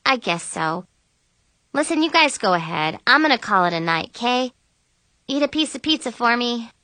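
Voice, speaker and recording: A woman's voice: on the high side, sing-song, moderate pace, bright, forceful tone, no room sound, voice pushed up. A woman voicing an earnest, pleading, self-blaming teenage girl in an audiobook. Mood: tired, downcast. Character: apologetic, emotional teenage girl narrator-heroine, self-blaming.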